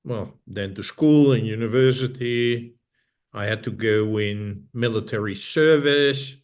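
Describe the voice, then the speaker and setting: A man's voice: medium pitch, a little slow. Dutch-accented middle-aged man, thoughtful. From Netherlands. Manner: thoughtful, repeats phrases, frequent restarts. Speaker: guest. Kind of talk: casual interview talk.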